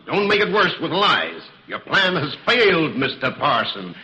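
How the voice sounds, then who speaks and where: A man's voice: on the high side, sing-song, measured pace, bright, forceful tone, full character voice, poor audio. An actor playing a stubborn, scornful middle-aged father in an old radio horror drama, talking with defiant bluster. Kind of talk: 1940s radio horror acting.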